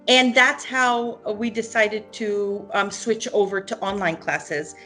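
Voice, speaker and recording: A woman in her forties from Hungary, a parent speaking unscripted in a testimonial, with many pauses, ums and restarts. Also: mid-range voice, fairly even intonation, bright, forceful tone, faint music behind.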